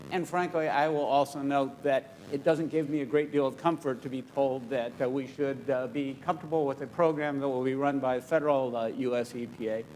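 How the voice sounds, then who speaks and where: A man from California, a state environmental official, giving a press statement in a halting way with many fillers. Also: medium pitch, fairly even intonation, darkish tone, a little hiss or hum, rough recording.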